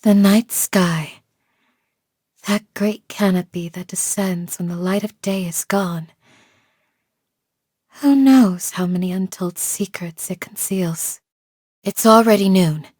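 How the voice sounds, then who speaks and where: Calm protective warrior woman from a fantasy game, formal n measured, mid pitch, dry studio sound. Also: dry recording. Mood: musing. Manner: measured, formal, gently solemn. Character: calm, devoted desert village guardian woman with spear and shield. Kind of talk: scripted game character voice lines.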